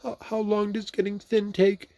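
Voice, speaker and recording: A man voicing a gentle, simple storybook bear, talking in a high, plaintive, earnest way. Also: fairly even intonation, normal speed, dry recording, natural voice.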